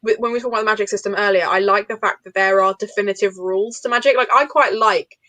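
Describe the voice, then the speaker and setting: A woman's voice: mid-range voice, steady tone, fast talker, sharp tone, no room sound. A woman in a casual book discussion, talking informally and analytically as she thinks aloud. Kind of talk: casual live book chat.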